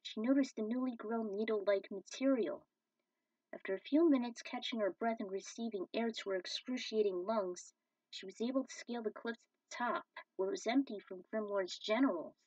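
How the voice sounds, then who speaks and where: Husky higher-voiced woman reading a story. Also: moderate pace, darkish tone, no room sound. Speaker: fan fiction reader. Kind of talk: scripted story narration. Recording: dull, distant microphone.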